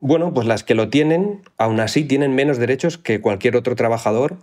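A man's voice: medium pitch, a little fast, darkish tone. A man working as an economics reporter, giving careful, explanatory interview answers with some fillers.

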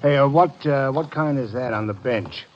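An actor playing a surly, evasive swindler in a 1950s radio mystery, talking in blunt, tough slang. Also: male voice, mid-range voice, normal speed, slight background noise, lightly acted. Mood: casual. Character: surly, evasive male swindler and map forger. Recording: dull vintage 1950 radio recording.

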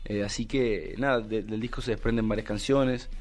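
A man in his thirties from Buenos Aires with a Buenos Aires Argentine accent, a musician answering interview questions in an unhurried way, full of fillers and restarts. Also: fairly low pitch, fairly even intonation. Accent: Argentine Spanish, Buenos Aires. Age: 30s. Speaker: musician guest.

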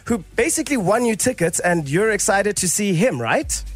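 A South African man hosting a lively, chatty live interview on radio from a studio. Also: sits high, moderate pace, slightly rough, faint music behind. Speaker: radio host. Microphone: studio microphone with headphones.

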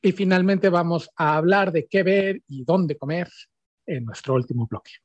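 Mexican guy radio cohost, kinda high and husky, hyped up. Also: normal speed, lo-fi sound. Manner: energetic, upbeat. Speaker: radio co-host. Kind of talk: radio interview.

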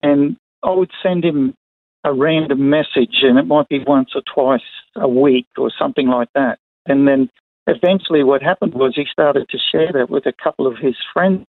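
An older Australian man from Queensland answering interview questions in a plain-spoken, conversational way, pausing between short phrases. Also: mid-range voice, no echo. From far north Queensland, Australia. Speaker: interview guest, retired sugarcane farmer. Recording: narrow phone-like line, dry.

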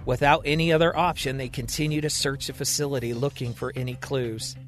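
A man telling a serial thriller in steady, expressive scripted narration. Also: mid-range voice, fairly quick, faint music behind. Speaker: storyteller.

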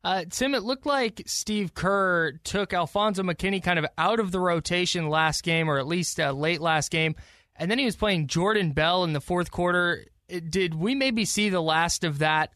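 Higher-voiced male sports radio host, dry sound. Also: normal speed, bright, forceful tone, dry recording. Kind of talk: conversational sports interview.

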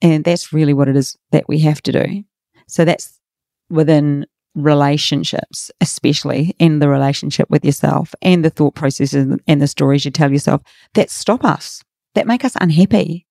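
A middle-aged woman with a raspy, hoarse voice, hosting a motivational podcast in an earnest, encouraging way. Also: low-pitched, moderate pace, dark tone, no echo, studio quality. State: hoarse from a workshop. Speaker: podcast host and coach.

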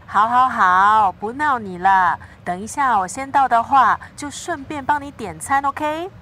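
An easygoing young female best friend in a radio drama. Her voice is fairly high, with some vehicle noise behind it. She is playful and teasing. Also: expressive, a little slow, bright, forceful tone, rough recording.

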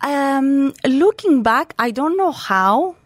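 A woman's voice: high-pitched, lively intonation, takes it slowly, no room sound. A woman from Crete in her thirties, a guest in a radio studio interview, talking in a chatty way with lots of fillers and restarts. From Greece (Crete). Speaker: guest, startup co-founder.

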